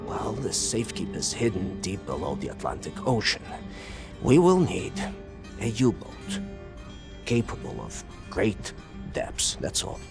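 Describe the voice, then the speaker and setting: An actor playing a solemn old scholar-engineer of a secret society in a video game, grave and deliberate, over music. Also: male voice, on the low side, sing-song, gravelly, full character voice. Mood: matter-of-fact. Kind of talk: cinematic video game acting.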